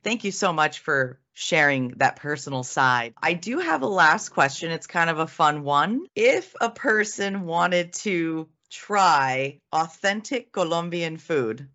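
A woman's voice: low-pitched, lively intonation, slow, few pauses, bright, forceful tone, lo-fi sound. A woman hosting an internal company interview, upbeat and enthusiastic as she asks her questions. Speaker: host.

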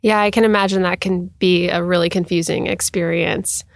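A woman's voice: fairly low pitch, steady tone, normal speed, gravelly, no room sound, clean studio sound. A woman hosting an interview podcast, friendly and encouraging with her guest. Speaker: podcast host.

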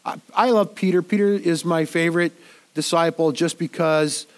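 High-voiced pastor with a congested cold. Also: male voice, fairly even intonation, moderate pace. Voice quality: nasal. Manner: conversational, repeats words while thinking. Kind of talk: sermon.

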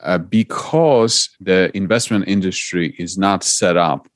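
Low-voiced man. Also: measured pace, dry recording, studio quality. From Poland. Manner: earnest, explanatory, some repeated starts. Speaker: guest investor. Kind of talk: interview answers.

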